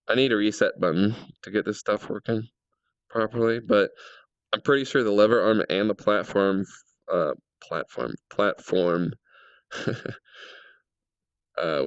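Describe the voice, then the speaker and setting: A man with a low, gravelly voice, a little raspy from a cold. He rambles and thinks aloud with plenty of fillers while he tests things in a game. The sound is dry and a bit dull, from a home mic. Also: no echo. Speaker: game world creator. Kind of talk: casual gameplay commentary.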